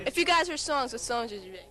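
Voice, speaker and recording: Giggly teenage girl asking questions. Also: sits high. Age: teens. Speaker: student interviewer. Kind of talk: casual yearbook video interview. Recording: old camcorder tape with music and crowd noise.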